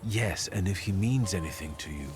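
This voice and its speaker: A man acting a proud, cool-headed thaumaturge in a story video game, speaking in a measured, self-assured way. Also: low voice, long reverb tail, background noise, natural voice. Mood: guarded, firm. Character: proud, defiant male thaumaturge, cool and scheming.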